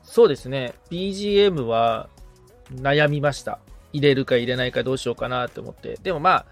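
A man's voice: mid-range voice, fairly quick, vocal fry, faint music behind. A man chatting casually and informally as a podcast assistant, thinking aloud as he goes. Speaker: podcast assistant, guitarist receiving advice. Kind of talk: casual chat.

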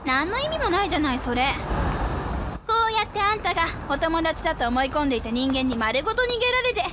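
A woman's voice: very high voice, very animated, quick pace. A woman playing a bossy, clingy, haughty small teenage schoolgirl in a Japanese radio drama. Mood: scornful. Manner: taunting, haughty. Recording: noisy street ambience with traffic.

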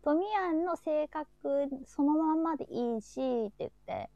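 Mellow-voiced woman chatting casually. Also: high voice, expressive, moderate pace, gravelly, no echo, highs cut off. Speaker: host of a live chat show. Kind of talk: casual chat.

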